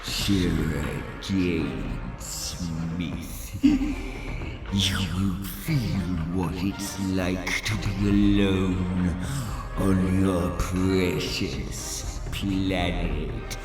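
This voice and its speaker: A menacing supernatural trickster in an audio drama. His low voice drags out each threat very slowly, heavily echoing and distant. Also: fairly even intonation, heavily acted. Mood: gloating menace. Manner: slow, deliberate, threatening. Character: vengeful supernatural trickster villain, menacing and gloating. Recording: cavernous echo, distant, loud music.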